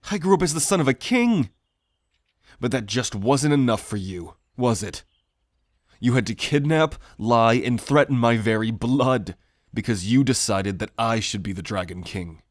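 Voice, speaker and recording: An earnest, principled young prince, played by a man in a fantasy audio roleplay. His voice sits at a middle pitch, and he speaks with courteous formality and steady loyalty. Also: moderate pace, no echo, clean studio sound, close to an everyday voice. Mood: righteous accusation.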